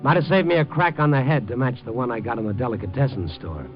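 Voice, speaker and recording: A man's voice: medium pitch, quick pace, lightly acted. Hard-boiled, wisecracking reporter played by a man, muffled vintage radio. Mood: wry narration. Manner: sardonic, slangy, clipped. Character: wisecracking, hard-boiled male reporter, adult, tough and probing. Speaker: lead actor and narrator in a radio drama. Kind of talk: 1950s radio noir acting and narration. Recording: muffled old 1950s radio recording, faint music.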